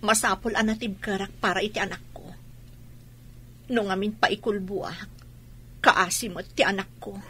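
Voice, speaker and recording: A resolute, wronged mother in a radio drama. Her mid-pitched voice has a sharp edge and a rough husk. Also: fairly even intonation, moderate pace, long pauses. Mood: hurt, resolute. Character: wronged, protective wife and mother, adult, resolute. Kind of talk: Ilocano radio drama acting.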